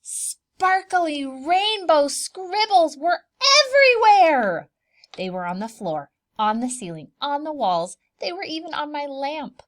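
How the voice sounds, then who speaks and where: A woman reading a picture book aloud for preschoolers, expressive and dramatic, pausing to put questions to the children. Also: high-pitched. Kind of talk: animated children's storybook read-aloud with asides.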